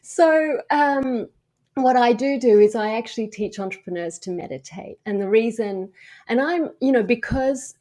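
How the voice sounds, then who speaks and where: A woman's voice: on the high side, moderate pace, mellow. A woman with an Australian accent, a meditation coach speaking frankly and earnestly as a podcast guest, thinking aloud as she goes. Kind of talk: podcast interview.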